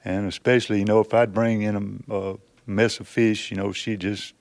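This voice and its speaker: Low, gravelly, plainspoken man. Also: level tone, normal speed, noisy background. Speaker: prison poetry student. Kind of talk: halting personal talk and plain poem reading. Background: guitar music behind the voice.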